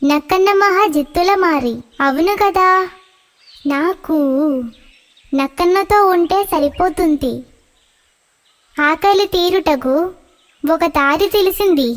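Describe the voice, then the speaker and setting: A woman voicing a small, eager young squirrel in a children's animated fable, earnest and flattering. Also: very high voice, takes it slowly, long pauses. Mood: plotting, hopeful. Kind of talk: animated children's story acting.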